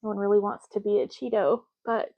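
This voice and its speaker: A soft-spoken woman doing an ASMR roleplay as a clerk, talking casually and matter-of-factly in unhurried short phrases with pauses. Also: medium pitch, steady tone, dull audio. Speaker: ASMR roleplay host, playing an intake clerk making ID cards.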